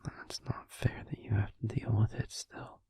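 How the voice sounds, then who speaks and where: A man playing a gentle vampire boyfriend in an intimate comfort roleplay, soothing and tender in a hushed, breathy whisper close to the microphone. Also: close to an everyday voice. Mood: gentle, understanding. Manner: soothing, tender, slow and reassuring.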